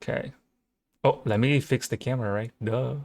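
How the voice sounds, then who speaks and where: A man's voice: mid-range voice, steady tone, moderate pace, creaky, darkish tone. A young man from Brazil with a Brazilian Portuguese accent, casually and enthusiastically hosting his own reaction video, rambling with fillers. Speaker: channel host. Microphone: home microphone.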